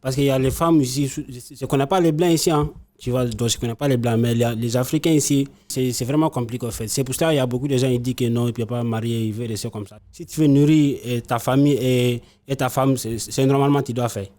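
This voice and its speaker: A man's voice: mid-range voice, steady tone, fast, few pauses, mellow. A young man from Benin, a vocational student, speaking conversationally and repeating himself in a classroom debate. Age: young adult. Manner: conversational, repetitive.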